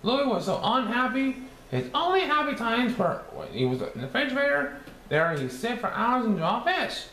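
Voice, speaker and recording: Cheerful cartoon sponge reading a storybook, high, wildly swooping sing-song delivery with stumbles, in an echoey room. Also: male voice, very animated, normal speed, sharp tone, heavily acted. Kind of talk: storybook read-aloud. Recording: echoey room with faint background noise.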